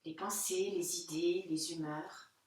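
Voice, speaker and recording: Woman, yoga teacher, slightly low pitch, gentle unhurried guidance, pauses between phrases, very echoey room. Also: steady tone. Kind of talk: guided Yoga Nidra relaxation instruction. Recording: very echoey room, distant microphone.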